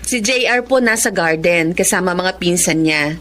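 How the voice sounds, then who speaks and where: An actress with a Filipino accent playing a forbearing wife and dutiful daughter in an audio drama. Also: female voice, lowish voice, moderate pace, a little hiss or hum, close to an everyday voice. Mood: cheerful, excusing. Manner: respectful, expressive. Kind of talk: Filipino radio-style drama acting.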